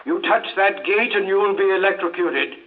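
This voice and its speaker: An actor playing a brusque, suspicious man giving curt orders through a loudspeaker in a 1950s radio drama. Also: sits high, moderate pace, a little hiss or hum. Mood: sharp, demanding. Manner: curt, commanding, interrogating. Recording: tinny, distant loudspeaker on old radio recording.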